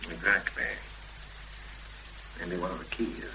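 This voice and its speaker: A man's voice: sits low, a little slow. An actor in a 1970s radio drama playing a self-assured, analytical literary man who hesitates as he thinks aloud. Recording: noisy hummy 1970s radio broadcast recording.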